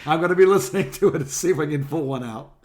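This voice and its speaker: A man's voice: a little high, very fast, a little mellow. A middle-aged man co-hosting a podcast, telling rambling, self-deprecating stories in casual conversation. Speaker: podcast co-host. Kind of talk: casual chat on a phone call podcast.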